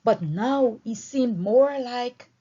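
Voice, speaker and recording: A woman reading a story aloud in an expressive, deliberate and emphatic way. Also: a little high, husky. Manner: emphatic, deliberate phrasing with dramatic swings. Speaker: audiobook reader. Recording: dull, lo-fi recording.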